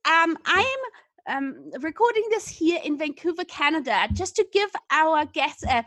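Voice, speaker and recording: A woman's voice: very high-pitched, fairly bright tone, dry recording. A woman hosting a podcast, reading a scripted intro and then asking questions in an enthusiastic, upbeat way. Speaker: podcast host. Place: Vancouver, Canada.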